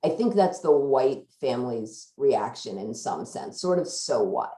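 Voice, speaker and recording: A woman leading an informal book discussion, thinking aloud in a halting way. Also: rumbling low, lively intonation, dark tone. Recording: echoey room sound.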